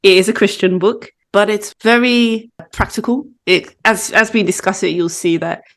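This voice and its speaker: A woman's voice: medium pitch, steady tone, moderate pace. Chatty woman podcast host. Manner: chatty, laughs often. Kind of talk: casual book chat.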